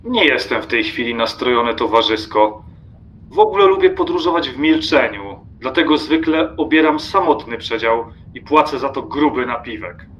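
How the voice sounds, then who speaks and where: An actor playing an irritable, disdainful lone train traveller in a radio play, haughty and sardonic in manner. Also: male voice, mid-range voice, expressive, normal speed, a bit bright, dull audio, natural voice. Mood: cold, irritated. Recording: faint ambient noise behind.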